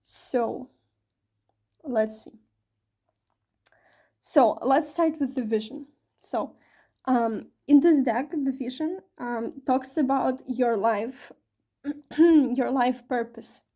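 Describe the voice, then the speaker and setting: A woman reads tarot for her viewers in a loose, chatty way, often pausing on fillers and correcting herself. Her voice sits a bit high yet sounds soft and mellow, with a slight strain from talking a long while. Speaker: tarot reader. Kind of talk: casual tarot reading to camera.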